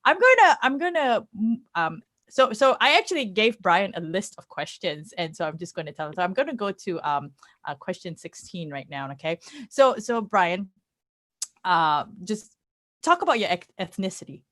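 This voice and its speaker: A woman's voice: mid-range voice, very animated, moderate pace, a bit bright. A woman from Singapore, hosting a casual interview in a chatty way with repeated short affirmations. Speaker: interviewer, host.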